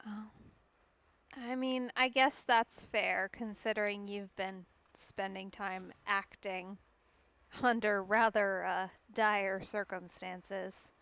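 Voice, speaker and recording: A woman giving casual let's play commentary as a streamer, musing gently and hesitantly. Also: mid-range voice, steady tone, slow, no echo, muffled recording. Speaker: streamer playing a game.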